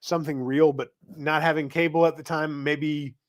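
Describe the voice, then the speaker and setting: Slightly high-voiced middle-aged man chatting. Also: level tone, normal speed. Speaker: podcast co-host. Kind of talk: casual chat.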